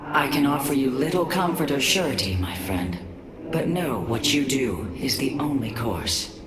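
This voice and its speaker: Solemn alien leader, echoing voice. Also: female voice, extremely low pitch, expressive, moderate pace, crowd noise. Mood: sorrowful. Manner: formal, solemn. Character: grave, compassionate alien leader, dignified and wise. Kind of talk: dramatic sci-fi game voice acting. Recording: echoey, with loud background noise.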